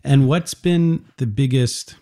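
A radio host interviewing a young musician, speaking gently and encouragingly and explaining musical terms in plain words. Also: mid-range voice, slow pace.

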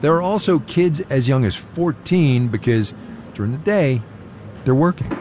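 A man's voice: mid-range voice, expressive, moderate pace, background noise. A man working as a radio reporter, reading scripted narration in a conversational storytelling way with short, punchy phrases.

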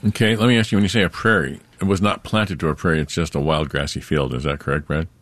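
A man's voice: very deep voice, steady tone, fast, a bit bright, no echo. A man co-hosting a gardening call-in show, asking the caller direct questions and giving advice. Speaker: gardening expert co-host.

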